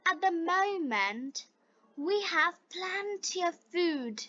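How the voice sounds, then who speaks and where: A Bengali-speaking woman teaching English, reading phrase by phrase with deliberate enunciation in a repeat-after-me lesson style. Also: very high-pitched, very animated, sharp tone, lo-fi sound. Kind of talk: slow read-aloud English lesson with repeat-after-me drills.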